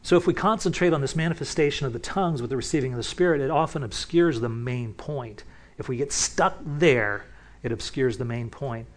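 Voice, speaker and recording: A man teaching from the Bible in a relaxed, conversational way, often restarting sentences and picking up energy as he warms to a point. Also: mid-range voice, a little fast. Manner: casual, with many restarts and asides. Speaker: pastor.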